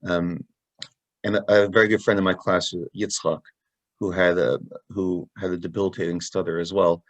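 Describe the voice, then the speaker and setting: A man hosting a question-and-answer session, speaking haltingly with lots of ums. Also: deep voice, flat delivery, no room sound, muffled recording. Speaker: host. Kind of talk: moderating a Q&A.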